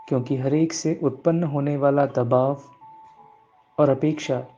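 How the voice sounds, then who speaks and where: Very slow, mellow man guiding meditation. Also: mid-range voice. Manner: slow, measured phrases with long pauses; repeats some phrases in English. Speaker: guided meditation teacher. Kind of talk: guided meditation narration. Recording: dull lo-fi sound, music bed. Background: background music.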